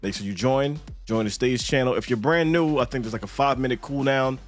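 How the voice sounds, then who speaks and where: A man's voice: mid-range voice, brisk. Joking male podcast host over music. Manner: joking, profane banter. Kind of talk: casual livestream chat. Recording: music playing behind. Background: background music.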